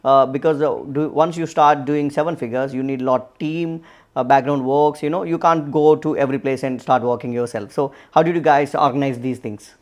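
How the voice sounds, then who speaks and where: A man's voice: mid-range voice, steady tone, moderate pace, darkish tone. Casual man hosting a podcast. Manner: casual, lots of uh and you know. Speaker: podcast host. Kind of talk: podcast interview questions.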